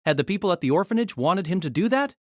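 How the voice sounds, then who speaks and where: A narrator reading a novel aloud as an audio drama with expressive storytelling. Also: medium pitch, fast, dry recording, clean studio sound.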